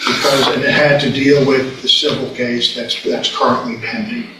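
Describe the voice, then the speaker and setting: An older American man speaking as a defense attorney, making a halting, rambling argument in court. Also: mid-range voice, little pitch movement, recorded from a distance. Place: large indoor courtroom. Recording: noisy, echoing courtroom recording.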